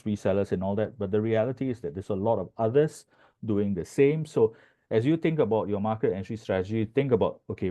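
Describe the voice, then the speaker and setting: Male consultant presenting over a video call; hesitant, restarting delivery, low warm voice. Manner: halting, with restarts and fillers. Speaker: market entry consultant presenting. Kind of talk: webinar presentation.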